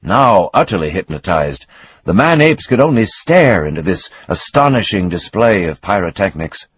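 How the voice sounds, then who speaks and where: A man giving a scripted, dramatic reading of a novel as narrator, expressive with swelling emphasis and a husky voice. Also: sits low, normal speed, no echo.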